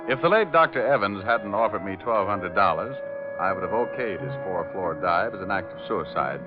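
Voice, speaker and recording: An actor playing a cool, mercenary private detective in 1940s radio style, dry, laconic and wisecracking. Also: male voice, low-pitched, expressive, normal speed. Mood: wry narrating. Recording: dull vintage radio recording with music.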